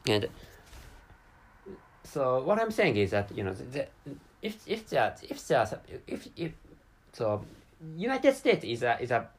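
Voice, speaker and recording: A man's voice: medium pitch, very animated. A man with a Japanese accent, a non-native English speaker hosting a solo spoken episode, who halts and restarts often as he searches for words. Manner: halting, searches for words, many restarts and fillers. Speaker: podcast host.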